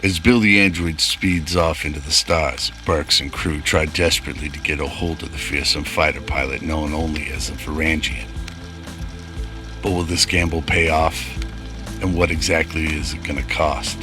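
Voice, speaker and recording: A man's voice: a bass voice, steady tone, moderate pace, music in the background. A man narrating a scripted sci-fi radio drama.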